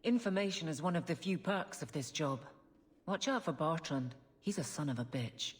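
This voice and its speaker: A woman's voice: low voice, animated, moderate pace. An actress voicing a blunt, loyal woman guard and former soldier in a video game, plain-spoken and dry. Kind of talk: video game character dialogue.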